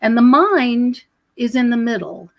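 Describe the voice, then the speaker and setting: A middle-aged or older woman speaking as a podcast guest, explaining spiritual ideas conversationally in a rambling way with pauses and repeated phrases. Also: fairly high pitch, lively intonation, gravelly, dry recording. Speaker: podcast guest, researcher and author. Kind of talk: conversational spiritual commentary in an interview.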